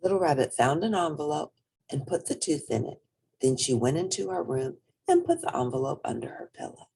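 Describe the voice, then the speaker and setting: A woman reading a children's storybook aloud in an expressive narrating style. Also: sits low, fairly quick, gravelly, slight background noise. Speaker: storybook reader.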